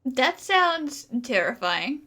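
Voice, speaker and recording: Female let's play commentator: sharp bright tone, high register, wry and informal. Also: very animated, slow pace. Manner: wry, slangy. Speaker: gaming streamer.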